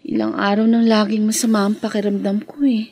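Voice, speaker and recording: An actress playing a meek, evasive young daughter in a Filipino radio drama. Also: female voice, mid-range voice, flat delivery, fairly quick, darkish tone, slightly rough. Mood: worried, distressed. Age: young adult.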